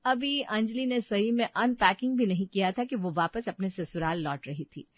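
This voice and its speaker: A woman's voice: mid-range voice, normal speed, no echo. Woman reading out a family story as narrator, no room sound, bit muffled.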